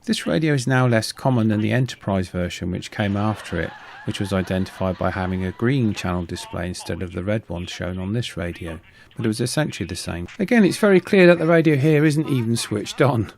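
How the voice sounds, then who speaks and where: A man's voice: lowish voice, animated, a little fast, creaky, a little mellow. Middle-aged man narrating steadily. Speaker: YouTube host, radio hobbyist. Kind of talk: scripted voice-over narration.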